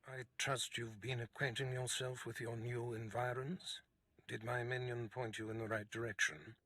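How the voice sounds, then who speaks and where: A haughty Sith master for a video game. His voice is raspy and cutting, a little low, with formal, measured menace and a dry, close sound. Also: flat delivery, bright, forceful tone, no room sound. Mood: dry disdain. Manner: pompous, measured, formal diction.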